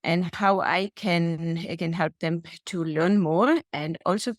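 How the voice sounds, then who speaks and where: A middle-aged woman from Germany with a German accent, a healthcare executive and lecturer answering interview questions in a measured, earnest way. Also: lowish voice, no room sound, studio quality.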